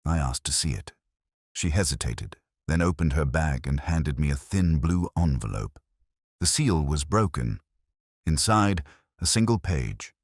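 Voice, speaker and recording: A man with a strikingly deep voice narrates a first-person detective tale. His delivery is measured and melancholy, with a literary turn and lively melodic movement. The sound is dry, with no room around it. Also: no room sound.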